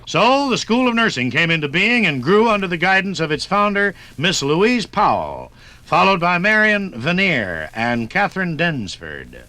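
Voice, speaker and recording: A man's voice: high-pitched, wildly swooping pitch, moderate pace, sharp tone. A man narrating and announcing in a polished, declamatory 1950s radio style.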